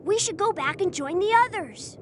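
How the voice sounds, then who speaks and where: A performer voicing a friendly, trusting child in a video game, eager and expressive. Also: very high voice, normal speed. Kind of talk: video game character acting. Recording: faint train noise.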